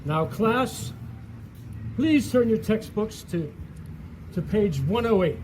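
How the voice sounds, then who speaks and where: A man acting a flustered, blustering fellow in a comic stage play, with halting delivery. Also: sits high, expressive, music in the background. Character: exasperated dream man, bumbling suitor and flustered history teacher. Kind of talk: theatrical one-act stage comedy acting. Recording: noisy live stage recording.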